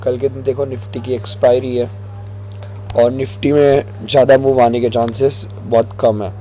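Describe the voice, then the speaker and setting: A man with a hoarse throat, walking viewers through stock chart levels in a relaxed tutorial, mixing in English phrases. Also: medium pitch, moderate pace, soft background music. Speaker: stock market analyst.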